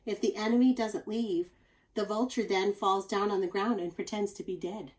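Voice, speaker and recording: A woman with a mid-pitched voice reads a children's nonfiction book aloud. She sounds like a teacher, explaining expressively and speaking directly to young listeners with chatty asides. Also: steady tone, normal speed, darkish tone, rough recording.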